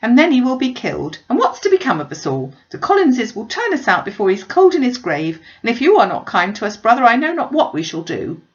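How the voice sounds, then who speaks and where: A woman's voice: fairly high pitch, huge pitch swings, brisk, hardly any pauses, dull audio, pitched above the performer's own voice. A woman voicing a fretful, self-pitying middle-aged mother in a classic novel, lamenting with plaintive over-emphasis. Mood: wailing, aggrieved. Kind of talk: dramatised audiobook reading of a classic novel.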